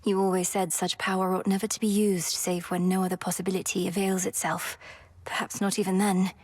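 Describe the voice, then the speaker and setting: A woman voicing a determined young witch princess in a video game, speaking in formal, measured, old-fashioned phrasing. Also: mid-range voice, level tone, a little mellow, breathy edge, natural voice. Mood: earnest.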